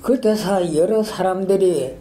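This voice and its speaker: An older man with a strong Gyeongsang dialect, a retired school principal telling his story in a halting, rambling way in an oral history interview. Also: high voice, dark tone, slight background noise, low-quality recording. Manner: halting, rambling, many fillers and restarts.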